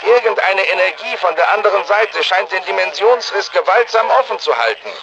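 An actor playing an anxious, learned research scientist in a video game, speaking in a formal, precise, explanatory manner. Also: male voice, high-pitched, lively intonation, fairly quick, vocal fry, lo-fi sound, background noise. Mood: urgent, worried. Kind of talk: scripted video game dialogue.

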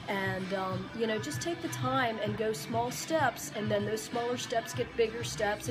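A woman in her forties talks straight to the camera from her own gym floor, giving friendly advice that wanders and is full of fillers and restarts. Music plays clearly in the room behind her. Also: medium pitch. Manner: rambling, many fillers and restarts. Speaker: gym owner and fitness coach. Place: indoor gym among weight machines. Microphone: camera or phone at close range.

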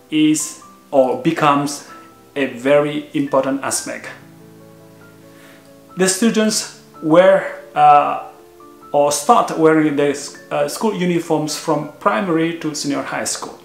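A man's voice: fairly high pitch, gravelly, echoey room. Earnest man vlogging, many fillers. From Indonesia. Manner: earnest, frequent "uh" fillers, measured explaining. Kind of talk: explanatory video talk to camera. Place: living room with a sofa, indoors.

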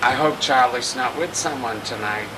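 A man giving a joking deadpan walking tour of a town street at night, recorded on an old camcorder. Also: mid-range voice, moderate pace. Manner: deadpan mock-tour patter, teasing. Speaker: comic home-video host. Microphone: camcorder mic. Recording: noisy 1990s camcorder audio.

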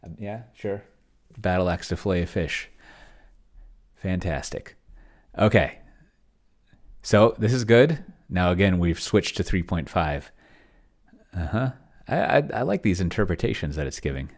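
A man's voice: sits low, animated, unhurried. A middle-aged man giving casual livestream commentary, rambling and thinking aloud as he types. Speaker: host of a solo tabletop game video.

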